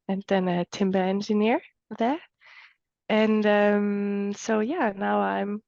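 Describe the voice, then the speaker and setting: A woman's voice: medium pitch, creaky, a little mellow, no room sound, highs cut off. A woman from Switzerland, a timber engineer talking as an interview guest, thoughtful and restarting small phrases as she goes. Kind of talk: interview conversation.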